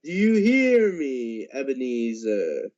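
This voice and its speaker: A teenage male actor playing the mournful, remorseful ghost of a businessman in a radio drama, with a drawn-out, weighty delivery. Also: mid-range voice, sing-song, darkish tone. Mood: solemn warning. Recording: dull lo-fi amateur recording.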